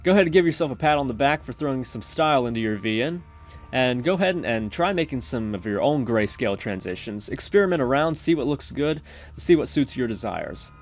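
A man's voice: mid-range voice, a little hiss or hum. A man hosting a casual coding tutorial, talking through each step in an informal, chatty way. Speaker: tutorial host. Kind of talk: casual screen-recorded coding tutorial narration.

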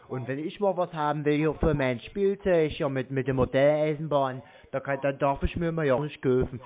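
Casting: male performer as a guileless, childlike, henpecked hobbyist for a prank call, rambling, fairly high voice, muffled phone line. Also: close to an everyday voice. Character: naive, chatty henpecked husband, childlike model-railway hobbyist.

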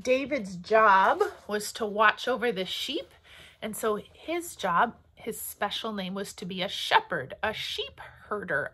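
A woman in her forties, a pastor hosting story time, speaking to young children in simple phrases with deliberate pauses. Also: medium pitch, sharp tone. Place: small room at home or office, indoors.

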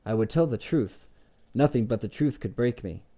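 A man's voice: mid-range voice, animated, moderate pace, dark tone. A man voicing an earnest, slightly self-important story collector in an audiobook, eager and hesitant as he pleads his case.

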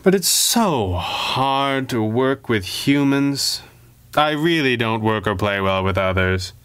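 An aloof female mad scientist, voiced by a male narrator in a pulp adventure story. She is weary of people and speaks with a clear, smooth tone. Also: mid-range voice, animated, takes it slowly, fairly bright tone. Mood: resigned. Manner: sighing, resigned.

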